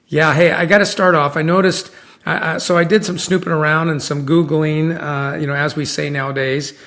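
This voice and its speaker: A man's voice: fairly high pitch, flat delivery, normal speed, fairly bright tone. A man hosting a podcast interview, chatting casually and rambling with lots of fillers and restarts. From United States. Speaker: podcast host.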